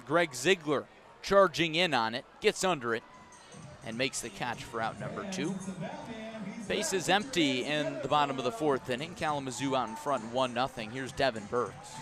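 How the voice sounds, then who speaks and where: A man's voice: mid-range voice, expressive, normal speed, over music. A man doing live radio play-by-play of a baseball game, moving between clipped calls and conversational asides. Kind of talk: live radio sports commentary. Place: outdoor ballpark broadcast.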